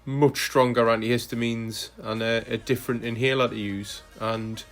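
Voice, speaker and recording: Flat-voiced man narrating his rides. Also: medium pitch, moderate pace. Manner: relaxed, hesitant with frequent fillers. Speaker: cycling vlogger. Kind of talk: casual voice-over narration of ride footage.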